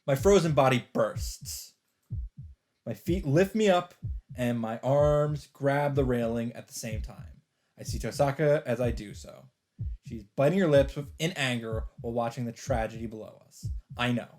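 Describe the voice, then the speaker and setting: A man reading a visual novel aloud as a let's player, earnest and expressive, mixing dramatic narration with casual commentary. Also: medium pitch, moderate pace, a little hiss or hum. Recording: background music under the voice. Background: game music.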